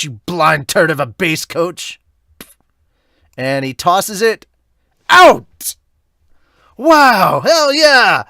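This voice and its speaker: Guy doing fired up teen baseball player, manga dub, high bellowing shouts, super animated. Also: no echo, clean studio sound, exaggerated voice. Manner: exclamatory, bellowing. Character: hot-blooded young male high school baseball players shouting.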